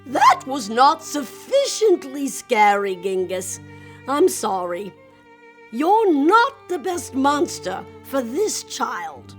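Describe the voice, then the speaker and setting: A woman's voice: high voice, sing-song, slow, soft background music, heavily acted. A woman voicing a pompous, exacting male monster schoolmaster in a picture book, formal and scolding.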